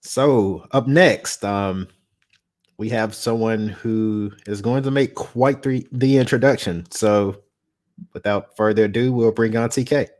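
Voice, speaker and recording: A man's voice: fairly low pitch, measured pace, a little mellow. A man informally introducing a performer as event host, speaking a little hesitantly.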